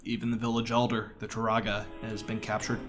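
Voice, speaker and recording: A man's voice: lowish voice, monotone, brisk, over music. A man giving casual live commentary as a speedrunner, explaining informally with wry asides.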